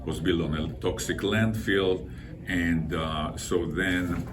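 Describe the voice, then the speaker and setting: Older Israeli man, very deep voice. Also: fairly even intonation. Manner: halting, explanatory. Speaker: guest speaker, environmental consultant. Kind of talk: informal presentation. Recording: distant mic with loud background noise.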